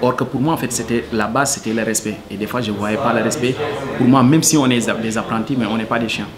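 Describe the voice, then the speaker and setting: A man's voice: medium pitch, steady tone, fast, crowd noise. A man from Senegal, answering interview questions earnestly and hesitantly, full of fillers. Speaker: cook, interviewee.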